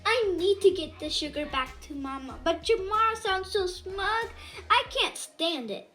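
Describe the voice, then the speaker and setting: A woman reading a children's picture book aloud in an animated, expressive storytelling way. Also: very high-pitched, measured pace, soft background music. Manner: animated, dramatizes dialogue.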